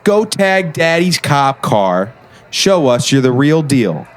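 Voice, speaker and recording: A man's voice: fairly high pitch, big pitch swings, takes it slowly. An actor playing a boastful, bullying teenage boy who leads a gang in an audio drama. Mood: taunting, bossy. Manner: boastful, refers to himself in third person. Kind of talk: scripted audio drama acting.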